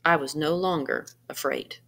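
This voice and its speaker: An earnest storybook egg read aloud by a woman, anxious but determined. The delivery is measured, with dramatic emphasis and pauses, telling its own tale expressively. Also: deep voice, vocal fry, background noise. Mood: triumphant.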